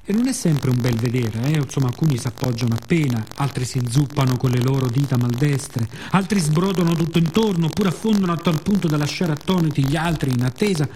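A man's voice: a little high, quick pace, hardly any pauses, a little mellow, a little hiss or hum. A man with a Roman Italian accent performs a scripted radio monologue in a reflective, wry, conversational storytelling manner, slipping into colloquial asides. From Rome, Italy.

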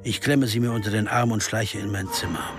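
An actor playing a wry, puzzled investigator who narrates a radio crime drama in a terse, musing way. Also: male voice, lowish voice, steady tone, a little fast, music in the background, lightly acted. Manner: musing, terse, asking himself questions.

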